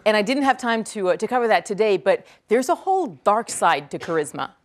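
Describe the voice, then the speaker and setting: Brief: native French speaker, woman, lively lecturer in a hall, repeats each question before answering. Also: mid-range voice. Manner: energetic, quick to praise, repeats each question aloud.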